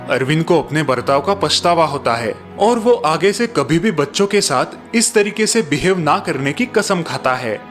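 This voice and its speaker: A man's voice: on the high side, expressive, moderate pace, a bit bright, soft background music. A man narrating a cartoon story in a scripted storytelling style.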